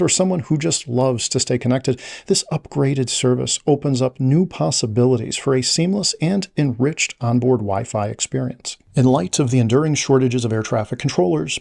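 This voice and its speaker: A man presenting scripted travel news in a measured, formal read-aloud delivery. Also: medium pitch, creaky, darkish tone.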